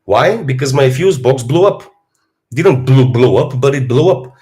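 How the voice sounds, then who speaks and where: A man speaks in a rough, hoarse voice from a cold. He talks bluntly and swears freely, musing aloud in a casual livestream monologue as a fitness streamer. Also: medium pitch, expressive, unhurried, mellow.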